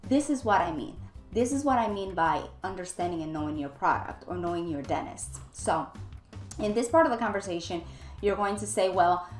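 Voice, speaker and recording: A woman's voice: lowish voice, expressive, normal speed, echoey room, faint music behind. A woman giving an instructional coaching talk, speaking emphatically and repeating key phrases for stress. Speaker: dental practice coach and trainer.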